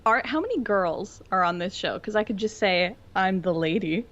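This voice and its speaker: A woman's voice: medium pitch, expressive, normal speed, a bit bright, dull audio. A woman guesting on a podcast, chatting casually and jokily like an enthusiastic fan. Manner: informal, jokey, enthusiastic fan talk. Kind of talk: casual pop-culture chat.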